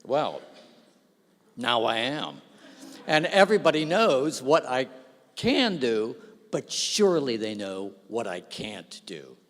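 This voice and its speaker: A man giving a humorous, self-deprecating speech at an awards ceremony, telling stories with lively asides into a stage microphone. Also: mid-range voice, slow pace. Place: large event hall. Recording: long reverb of a large hall.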